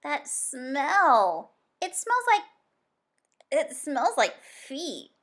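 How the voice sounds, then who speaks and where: Woman as blunt, teasing schoolgirl, animated. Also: high-pitched, extremely slow, voice pushed up, exaggerated voice. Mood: disgusted. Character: Zoe, blunt teasing schoolgirl friend of Sam.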